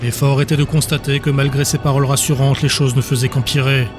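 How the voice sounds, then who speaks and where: A man's voice: medium pitch, level tone, fast talker, over music. A man reading scripted dramatic narration as the narrator of an audiobook.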